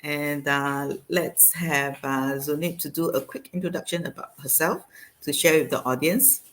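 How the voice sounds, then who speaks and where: A woman's voice: low voice, steady tone, moderate pace, a bit bright. A woman hosting a casual interview on a video call, speaking hesitantly with many fillers. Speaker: host.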